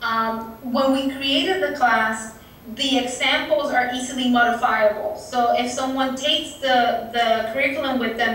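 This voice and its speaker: A woman with a Puerto Rican Spanish accent presents at a workshop, earnest and halting, with lots of fillers. Her voice sits a bit high. The sound is distant and very echoey. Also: a bit bright, a little hiss or hum. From Puerto Rico. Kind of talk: conference presentation. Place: conference room. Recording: echoey room, distant mic.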